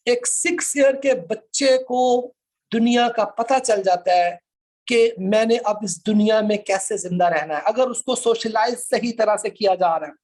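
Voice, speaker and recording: High-pitched Pakistani man giving a lecture. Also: unhurried, a little mellow. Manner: explanatory, repeats points, checks understanding. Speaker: law lecturer. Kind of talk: informal classroom lecture with questions to students.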